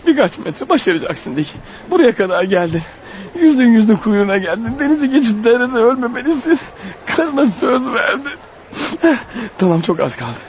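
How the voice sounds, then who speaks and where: Radio play lead: wounded runaway, very high strained pitch, breathless urgent muttering, dull-sounding recording. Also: male voice, sing-song, moderate pace, slight background noise. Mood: panicked, straining. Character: desperate wounded fugitive man, exhausted, racing to his wife. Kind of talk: radio theatre acting, inner monologue. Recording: muffled radio drama recording. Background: music underneath.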